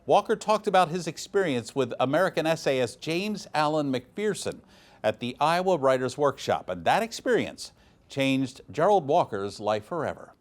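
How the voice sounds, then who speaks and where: A man's voice: a little high, sing-song, moderate pace. A man reading scripted local news as an anchor.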